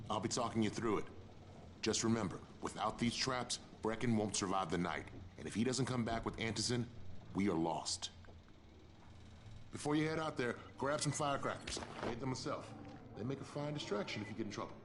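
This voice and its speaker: A man playing a gruff, bossy survivor in a video game, giving instructions in a curt, sardonic way. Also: fairly low pitch, fairly quick, a little hiss or hum, natural voice. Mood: gruff, urgent. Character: gruff, bossy male survivor, a trap-building quartermaster.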